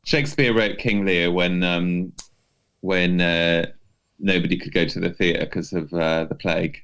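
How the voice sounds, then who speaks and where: Low-pitched man, dry casual chat. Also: steady tone, a little slow, fairly bright tone, smooth. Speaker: podcast co-host.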